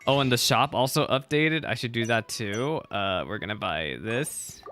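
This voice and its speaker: Bright-voiced chatty male game streamer. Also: medium pitch, normal speed, a little hiss or hum. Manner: chatty, excitable, repeats himself a lot. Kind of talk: casual livestream commentary.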